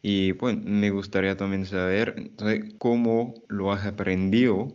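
A man in his thirties from France hosting a friendly interview over a video call, asking questions slowly and clearly. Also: fairly low pitch, flat delivery, darkish tone. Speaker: host of a language channel. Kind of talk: conversational interview. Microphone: earphone headset on a video call. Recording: dull video call audio.

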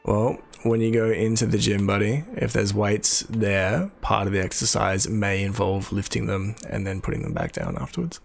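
A young Australian man with a husky, gravelly voice, sitting a little low in pitch. He is sick and his throat is rough, so every word carries that grit. He chats loosely to friends and viewers on a mic close to his mouth. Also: expressive, moderate pace, hardly any pauses, faint music behind. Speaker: game streamer. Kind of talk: casual chat while gaming. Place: small room at home. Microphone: studio mic on an arm.

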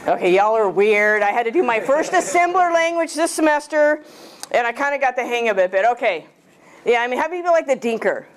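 A woman's voice: on the high side, lively intonation, brisk. A woman in her fifties giving a casual, jokey talk to a club audience in a small lecture room, using a handheld mic and often asking the room questions. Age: 50s. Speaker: guest speaker.

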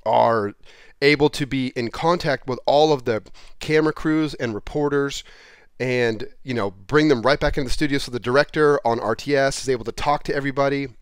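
A man with a medium-pitched voice talks through a how-to off the cuff. His delivery is informal and plain-spoken. The headset recording is dry, with very little room around the voice. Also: clear, resonant tone, no room sound. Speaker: presenter of a software how-to. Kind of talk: casual off-the-cuff tutorial talk. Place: small indoor room, plain wall. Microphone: headset microphone.

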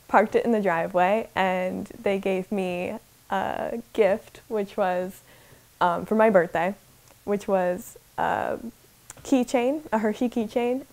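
A woman's voice: medium pitch, gravelly, a little mellow. A sixteen-year-old American girl from Ohio telling her story casually and hesitantly in an interview. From Ohio, United States. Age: teenager, 16.

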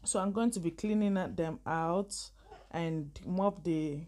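A woman's voice: on the low side, slow, a little mellow. A woman casually narrating her kitchen cleaning, rambling and laughing often as she works. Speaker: vlogger.

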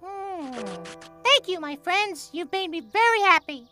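Tiny, very high-voiced caterpillar. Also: female voice, animated, a little slow, sharp tone, faint music behind, full character voice. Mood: grateful. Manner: plaintive, innocent. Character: small, wistful, simple caterpillar in kids' cartoon. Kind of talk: children's cartoon acting.

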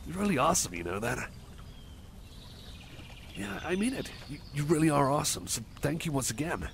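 A man's voice: fairly high pitch, big pitch swings, a little fast, vocal fry, a little hiss or hum. A man playing a shy, awkward, eager-to-please cyborg buddy in an ASMR roleplay, rambling to the listener in a hesitant, stammering, apologetic way. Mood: shy, grateful.

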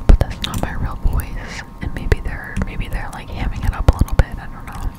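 Young female ASMR gamer: breathy close-up whisper, unhurried, casual and self-deprecating rambling over gameplay. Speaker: gameplay streamer. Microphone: close-up studio USB microphone.

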